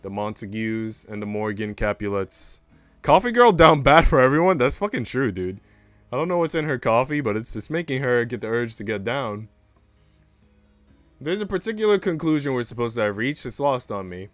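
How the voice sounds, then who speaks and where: A man's voice: medium pitch, very animated, moderate pace, a bit bright, no echo, soft background music. A man livestreaming, reading story narration aloud and adding slangy, playful casual commentary.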